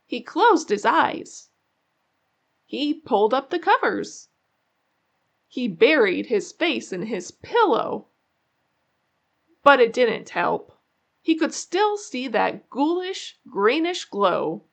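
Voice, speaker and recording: A woman reading a children's story aloud in an expressive way, drawing out key words for suspense. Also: fairly high pitch, slow, gravelly, long pauses. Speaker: children's book narrator. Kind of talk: animated read-aloud storytelling.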